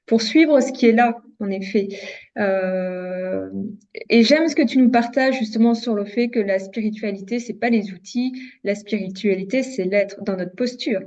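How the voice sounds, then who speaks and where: A woman's voice: mid-range voice, a little mellow. A woman hosting an interview in a casual, conversational way, heard through a dull lo-fi recording. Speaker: interview host. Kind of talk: casual spiritual interview chat. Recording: dull lo-fi sound.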